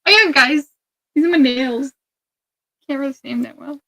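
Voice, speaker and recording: A woman co-hosting a casual live stream, chatting in quick offhand asides. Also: high voice, gravelly, sharp tone, rough recording. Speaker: co-host.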